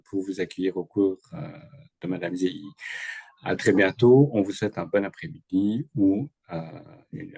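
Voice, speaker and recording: A man in charge of the program, formally introducing a guest lecturer, polite and pausing on fillers. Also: lowish voice, dark tone, no room sound. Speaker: program director, host.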